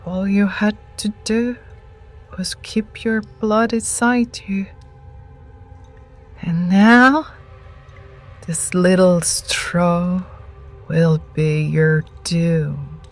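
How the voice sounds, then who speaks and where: A woman's voice: lowish voice, steady tone, faint music behind. A woman playing an ancient, starved vampire witch in an audio drama, cruel and mocking, with theatrical menace. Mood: gleeful cruelty. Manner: slow, taunting, theatrical menace.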